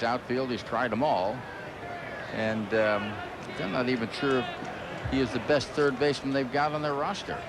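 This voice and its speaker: A man doing live baseball play-by-play on the radio in a conversational, folksy way. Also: medium pitch. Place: ballpark broadcast booth. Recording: clearly noisy 1990s stadium broadcast. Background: loud ballpark ambience.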